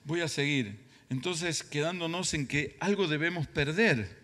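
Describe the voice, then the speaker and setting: A man's voice: on the high side, measured pace, bright, forceful tone. A middle-aged or older man with an Argentine Spanish accent preaching emphatically, repeating phrases for effect. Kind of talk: sermon. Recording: reverberant hall sound.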